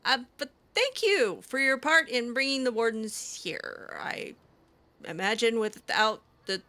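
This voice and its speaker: A woman's voice: fairly high pitch, bright, forceful tone. A woman improvising in-character roleplay, hesitant and full of ums. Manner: hesitant, with frequent ums and restarts. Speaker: roleplaying game player. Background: faint game music.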